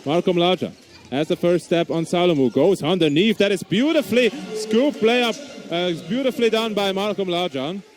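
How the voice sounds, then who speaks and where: A man's voice: sits high, normal speed, few pauses. A man with a Swiss German accent, a non-native English speaker, giving live basketball play-by-play with frequent hesitations and restarts. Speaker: basketball commentator.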